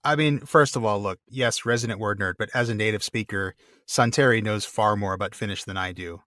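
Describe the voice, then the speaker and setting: A man's voice: fairly low pitch, brisk, sharp tone, no echo, clean studio sound. A man co-hosting a podcast, reading listener mail and explaining word origins with enthusiasm, laughing often. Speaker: podcast co-host.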